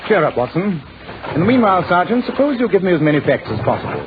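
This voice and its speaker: A muffled, hissy old radio recording of a keen English gentleman detective. He talks fast and with lively swings of pitch, commanding and incisive in a 1940s radio drama. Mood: keen, probing. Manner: brisk, incisive, authoritative.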